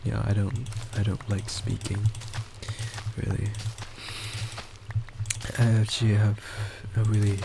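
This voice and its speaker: Quiet, hesitant young man rambling slowly. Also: on the low side, flat delivery, gravelly, noisy background. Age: young adult. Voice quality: quiet soft voice. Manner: hesitant, halting, many restarts and fillers. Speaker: college student. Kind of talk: rambling personal monologue. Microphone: desktop microphone with boosted gain.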